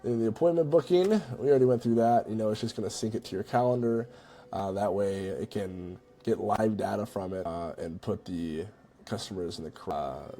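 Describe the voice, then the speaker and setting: A man with a low, creaky voice and a mellow tone. He speaks informally and conversationally, like someone walking viewers through a tutorial. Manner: informal, conversational, checks in with "right?" and "you know". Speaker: tutorial presenter. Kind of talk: casual screen-recorded software walkthrough.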